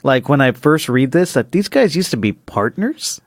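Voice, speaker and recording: A man's voice: mid-range voice, very animated, normal speed, dry recording, studio quality. A man co-hosting a podcast in casual, conversational chat, reacting to and agreeing with his partner. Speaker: podcast co-host.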